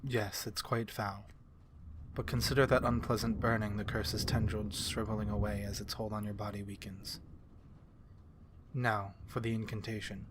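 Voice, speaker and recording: A calm, courteous male vampire, played by a man for a fantasy ASMR roleplay. His voice is low and soft-spoken, with formal, measured phrasing that gently reassures. Faint ambient noise sits behind him. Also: lightly acted. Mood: calm, instructive.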